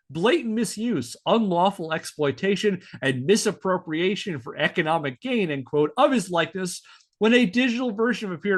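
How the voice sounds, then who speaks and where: Guy podcast host telling a researched story to his friends, voice a bit on the high side, measured delivery. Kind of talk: storytelling to friends, part scripted narration.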